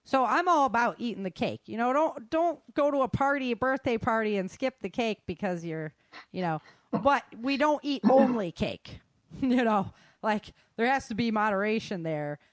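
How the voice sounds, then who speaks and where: A woman's voice: fairly high pitch, expressive, normal speed, no room sound. Casual, candid woman speaker, dry close sound. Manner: candid, colloquial, joking asides. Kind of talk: informal talk to an audience.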